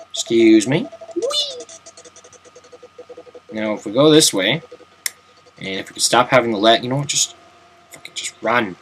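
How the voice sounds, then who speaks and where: A man's voice: mid-range voice, fairly bright tone, a little hiss or hum. Chatty young man narrating gameplay. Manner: chatty, self-mocking, jokey asides. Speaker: let's play commentator. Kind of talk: casual gameplay commentary.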